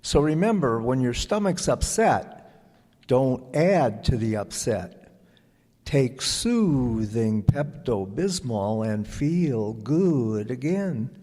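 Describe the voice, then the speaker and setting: A man reading a script as an old-time radio announcer, with measured, deliberate phrasing. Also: medium pitch, animated, warm tone. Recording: echoey hall with audience present.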